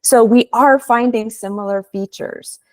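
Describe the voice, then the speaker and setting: A woman's voice: fairly high pitch, darkish tone, no echo. Woman researcher explaining over a video call. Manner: explanatory, measured. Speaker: researcher presenting a webinar. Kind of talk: webinar presentation.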